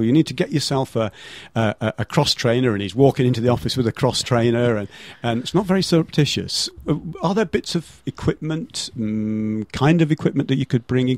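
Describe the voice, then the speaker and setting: This is a man presenting a relaxed radio chat. His voice sits at an average pitch, recorded dry in a studio. He banters and jokes as he steers the conversation. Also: no echo. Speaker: radio show host. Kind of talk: casual local radio talk interview.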